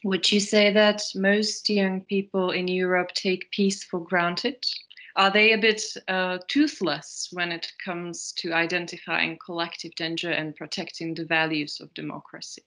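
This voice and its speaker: A woman interviews her guest in a measured, formal way. Her voice is pitched a little lower than most women's, yet it sounds bright and forward, and she sets out each question carefully. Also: level tone.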